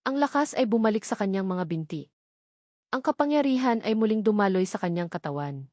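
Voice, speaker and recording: A woman reads a scripted romance drama as its narrator. Her pitch is middling for a woman. The recording is dry, with hardly any room sound. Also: lively intonation, brisk, a little mellow, no echo. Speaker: story narrator.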